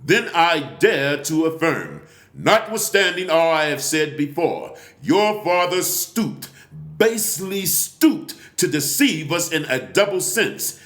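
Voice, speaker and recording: An actor playing an indignant, solemn nineteenth-century abolitionist orator, declaiming a formal speech deliberately with dramatic pauses and emphasis. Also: male voice, on the high side, very animated, fairly bright tone, full character voice. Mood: resolute, emphatic. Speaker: actor reading a historical speech. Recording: echoey, roomy sound.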